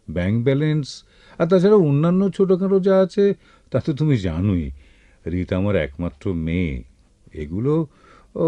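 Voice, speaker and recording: An actor playing an ailing, wealthy old father in a Bengali radio drama, indulgent yet insistent, speaking with measured pauses. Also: fairly low pitch, warm tone, no echo. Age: older. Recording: dull lo-fi radio drama recording.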